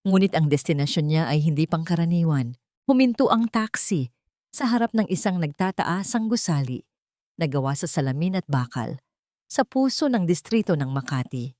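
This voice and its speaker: A woman's voice: sits low, animated, moderate pace, warm tone, dry recording, studio quality. A woman with a Filipino accent reading a dramatic love story as scripted narration.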